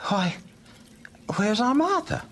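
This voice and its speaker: A man playing a gentle, earnest poor father in a dramatized audiobook, speaking tenderly and haltingly with a tremulous delivery. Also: extremely high pitch, very animated, full character voice. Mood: surprised, let down. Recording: noticeable background noise.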